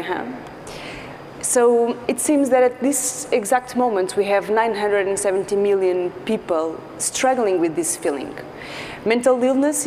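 A woman in her thirties from Portugal with a Portuguese accent, giving an earnest conference talk as a psychologist, pausing often with fillers. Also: medium pitch, dark tone. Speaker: clinical psychologist speaker. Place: large dark stage. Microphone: lapel mic. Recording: large hall with faint noise.

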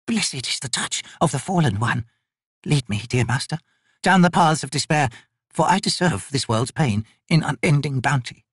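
A man voicing a fearful, fawning old male trader, pleading in a strained, high voice. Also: lively intonation, normal speed, slightly rough, dry recording, studio quality.

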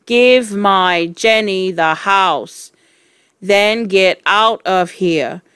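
A woman reader puts on a commanding ghost from a storybook. The words come very slowly and deliberately, heavy with menace, in a close, dry sound. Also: mid-range voice, fairly bright tone, clean tone, no room sound. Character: ghostly invisible writer, slow, menacing, commanding.

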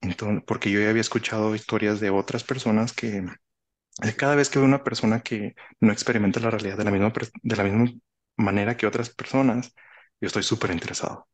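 A man in his thirties with a Mexican accent and a somewhat low voice talks in a casual, explanatory way. He is reflective and halting, often repeating a phrase before carrying on. He speaks into a headset on a video call. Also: fairly even intonation. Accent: Mexican Spanish. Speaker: author, interview guest. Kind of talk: casual conversational explaining with anecdotes. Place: indoor room, video call.

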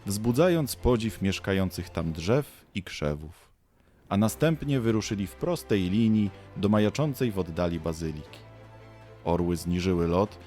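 A man's voice: sits low, mellow. A man narrating an audio drama as a storyteller, reading scripted prose. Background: faint music.

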